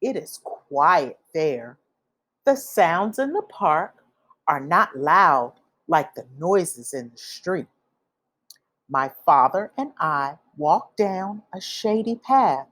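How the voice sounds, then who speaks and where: A woman reading a children's picture book aloud, with expressive, deliberate phrasing for young listeners. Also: low voice. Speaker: read-aloud storyteller.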